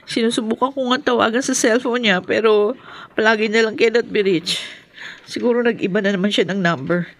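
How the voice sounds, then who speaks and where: A woman's voice: fairly high pitch, steady tone, fairly quick. An actress playing a distraught, anxious mother, tearful and helpless, in a radio drama. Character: distraught, helpless mother worried for sick child.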